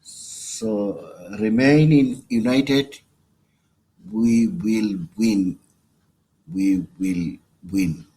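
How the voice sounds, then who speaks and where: Bangladeshi guy leaving a voicemail, low voice, really slow and hesitant, bit of noise. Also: dark tone, slightly rough. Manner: halting, hesitant phrasing in imperfect English. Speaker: caller. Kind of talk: personal voicemail message. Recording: noisy voicemail recording.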